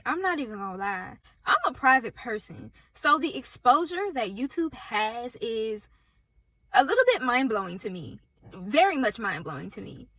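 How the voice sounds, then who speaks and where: Bright-voiced Southern woman vlogging in car. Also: a little high, no room sound. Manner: candid, chatty, talks to viewers directly. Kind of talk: casual talk to camera. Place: parked car. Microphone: phone on tripod.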